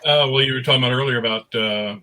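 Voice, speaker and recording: A man's voice: mid-range voice, quick pace, sharp tone. A middle-aged man telling anecdotes casually as a panelist in an online discussion. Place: remote online panel recorded at home.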